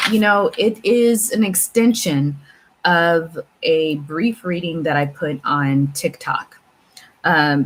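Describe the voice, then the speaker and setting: Low-voiced woman, casual spiritual talk, noisy background. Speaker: tarot reader and channel host. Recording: clear steady background room noise.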